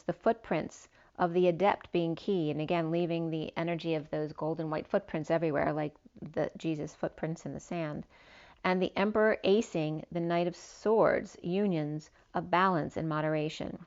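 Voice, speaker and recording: Woman, lowish voice, slightly hoarse, tarot reader rambling through her spread on a dull lo-fi recording. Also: steady tone, moderate pace, darkish tone. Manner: meandering, listing cards with fillers. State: losing her voice a little. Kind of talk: spiritual tarot reading. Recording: dull lo-fi sound.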